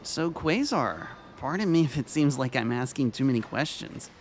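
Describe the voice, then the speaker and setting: A man playing a gentle, polite former school vice-principal in an audio drama, with courteous, formal and caring phrasing. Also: medium pitch, lively intonation, moderate pace, slight background noise, close to an everyday voice. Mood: warm, attentive. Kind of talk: audio drama acting of fan fiction.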